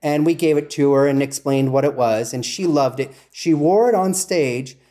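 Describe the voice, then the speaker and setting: Mellow, slightly high-voiced man chatting. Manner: informal, halting, self-correcting. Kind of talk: casual conversational storytelling.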